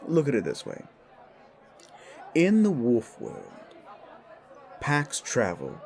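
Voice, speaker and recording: Man voicing a slow, measured werewolf mentor. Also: mid-range voice, wildly swooping pitch, gravelly, darkish tone, some chatter behind, exaggerated voice. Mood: patient, instructive. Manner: patient, explanatory, measured. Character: older werewolf mentor, male, patient, frank, experienced. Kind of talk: scripted audio drama dialogue.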